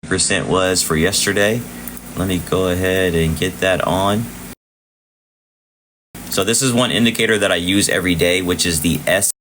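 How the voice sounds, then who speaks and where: A man's voice: sits low, steady tone, normal speed, long pauses, a little hiss or hum, poor audio. A man hosting a live trading stream with casual chart commentary, chatty and repetitive, thanking viewers as he goes, his voice wearing out. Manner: chatty, shouting out viewers, repeats himself. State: losing his voice. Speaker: livestream trading host.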